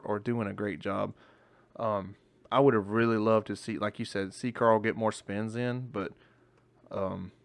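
A man's voice: on the low side, no room sound. A male podcast co-host in casual chat, rambling and swearing, often restarting his sentences, with hiccups.

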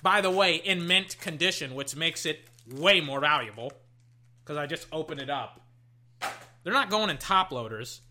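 Young adult, slightly hoarse, lively sing-song pitch, bright sharp tone, hyped then muttering. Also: normal speed, a little hiss or hum. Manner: excitable, swings between loud hype and low muttering; swears casually, trails off and restarts. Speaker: card-opening vlogger. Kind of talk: casual commentary while opening card packs. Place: at a desk indoors.

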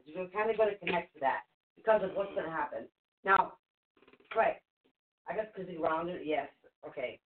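A woman whose first language is Portuguese, hosting a live call-in psychic reading show in a reassuring, chatty way that repeats things for emphasis. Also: medium pitch. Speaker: spirit reader and show host. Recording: phone-like narrow sound.

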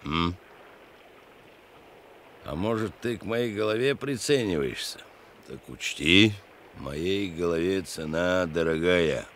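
An actor playing a menacing, hardened criminal killer in a radio drama, sneering and threatening. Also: male voice, sits low, lively intonation, slow pace, pauses often, a bit bright, slight background noise, exaggerated voice.

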